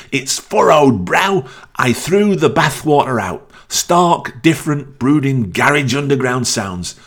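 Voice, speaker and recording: A man presenting a music show on radio, introducing records in an upbeat broadcast style. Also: a little high.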